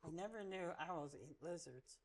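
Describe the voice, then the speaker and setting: A woman's voice: lowish voice, lively intonation, moderate pace, a little mellow. A woman co-hosting a children's story time, reacting casually and encouragingly with short exclamations and questions.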